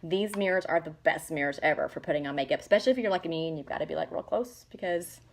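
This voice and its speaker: A woman's voice: deep voice, quick pace, vocal fry. A woman, chatting casually and gushing as she shows makeup products to the camera. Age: 40s. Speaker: makeup seller showing products. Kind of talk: casual product demo vlog.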